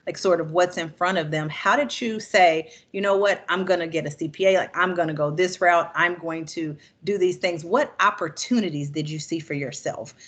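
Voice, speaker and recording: Lower-voiced woman hosting a panel. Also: moderate pace, hardly any pauses, a bit bright. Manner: friendly, conversational, upbeat asides. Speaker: session host. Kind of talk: hosting a panel on a video call.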